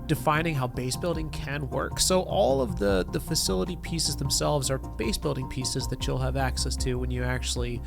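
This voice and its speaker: A man's voice: mid-range voice, steady tone, moderate pace, creaky, hardly any pauses. Nasal, congested man over music. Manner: chatty, loose, lots of fillers. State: nasal from a cold. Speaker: game developer community manager. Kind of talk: casual update video. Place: home studio with neon lights. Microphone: desk podcast mic. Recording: music bed under the voice.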